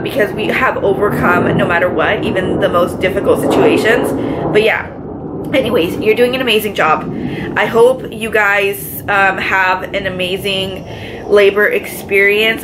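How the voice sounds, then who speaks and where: A pregnant young woman with a raspy, allergy-congested voice, chatting casually to camera from her living room couch, full of filler words. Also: mid-range voice, heavy background noise. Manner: chatty, lots of filler words, gestures with both hands. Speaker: vlogger. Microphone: camera in front, medium framing.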